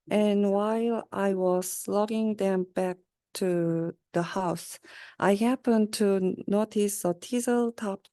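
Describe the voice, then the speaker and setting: Young woman reading a kids story real slow and halting, soft dark tone. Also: mid-range voice, clean tone, no echo. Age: young adult. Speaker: university student reader. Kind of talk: reading a children's rhyming story aloud.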